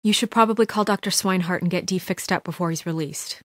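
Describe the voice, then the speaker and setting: A woman acting in a video game as a composed, weary and stern administrator who speaks in a crisp, businesslike, quietly troubled way. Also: lowish voice, fast talker, no room sound, clean studio sound, lightly acted. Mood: businesslike, firm.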